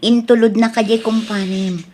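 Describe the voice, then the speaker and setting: Woman acting stern high-voiced Ilocano wife. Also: steady tone, moderate pace, a little mellow, slight background noise, lightly acted. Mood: reproachful, concerned. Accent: Ilocano Filipino. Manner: scolding, emphatic. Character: stern, nagging Filipino wife and household mistress. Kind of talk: radio-style audio drama acting.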